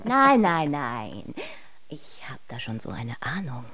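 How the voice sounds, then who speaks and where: An actress playing a suspicious, eager and morbid female attendant in an absurdist radio drama, halting and excitable. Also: very deep voice, breathy edge, heavily acted.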